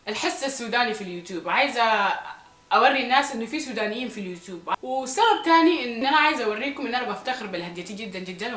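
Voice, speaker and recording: A young Sudanese woman vlogging casually to her viewers, speaking with a Sudanese Arabic accent with some Egyptian traces and a slightly hoarse voice, often repeating phrases for emphasis. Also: on the high side, pushed and bright, roomy sound. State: slightly hoarse from recent illness. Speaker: YouTuber. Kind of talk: casual vlog talk to viewers.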